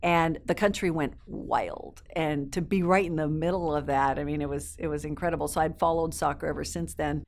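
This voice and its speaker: A woman's voice: low voice, fairly even intonation, a little fast, creaky, hardly any pauses. A woman in her fifties who grew up in South America, an interview guest telling stories in an upbeat, fluent way with a few ums. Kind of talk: casual interview chat.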